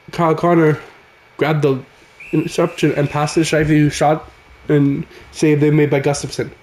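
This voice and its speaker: A man, raspy from a cold, giving casual play-by-play commentary over a hockey video game. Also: on the high side, reverberant. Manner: excitable, calls the action as it happens. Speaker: gaming channel host.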